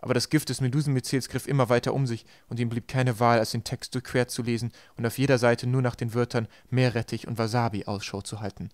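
Quick-paced male audiobook narrator, close and dry. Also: medium pitch, steady tone, dry recording.

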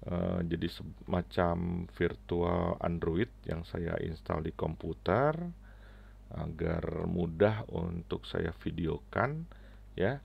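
Casting: middle-aged man, tutorial presenter, very gravelly and unhurried, halting phrasing, slightly muffled headset sound. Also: low-pitched. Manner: halting, short phrases with frequent tag words. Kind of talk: unscripted app tutorial.

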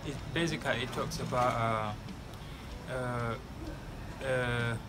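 A man's voice: medium pitch, steady tone, a little slow, background noise. A young man from Malawi, answering interview questions outdoors in an earnest, advice-giving way with frequent restarts. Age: young adult. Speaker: graduating student interviewee. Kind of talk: casual interview. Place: outdoors by a building wall.

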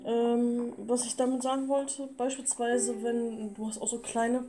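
A woman's voice: on the high side, little pitch movement, measured pace. Young woman explaining a game in a chatty let's play, guitar playing behind. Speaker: gaming video co-host. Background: guitar music.

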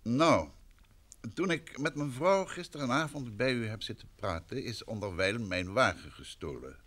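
A courteous, self-assured gentleman detective from an old radio play. His mid-range voice is polite and measured, yet varied in intonation. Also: medium pitch, expressive. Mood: serious. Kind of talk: old Dutch radio drama acting.

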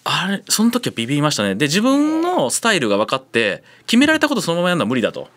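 Guy hosting a radio chat, super animated pitch, talks quick, self-deprecating. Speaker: radio show host. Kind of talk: casual advice chat.

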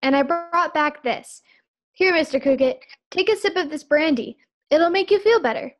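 A woman plays a good-natured maid in a radio sitcom, with a high voice. She is deferential to her employers but cheeky underneath. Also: animated, normal speed. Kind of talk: 1940s radio sitcom acting.

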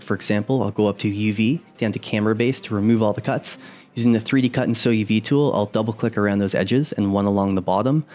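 A young adult man with a fairly low voice explains his modeling steps in a casual, conversational voice-over. Soft music plays faintly underneath. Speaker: 3D artist tutorial host. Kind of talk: voice-over tutorial narration.

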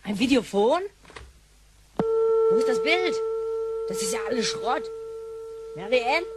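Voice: mid-range voice, big pitch swings, unhurried, heavy background noise. A performer playing a brash, impatient, slangy boy from the future in a radio play. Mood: agitated, impatient.

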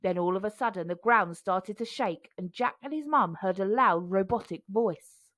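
A woman reading a children's storybook aloud with expressive narration. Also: mid-range voice, moderate pace.

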